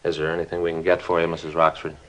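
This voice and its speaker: An actor playing a grave, courteous American police detective in an old radio crime drama, speaking in a measured, understated way. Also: male voice, low-pitched, monotone, natural voice. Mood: grave, gentle.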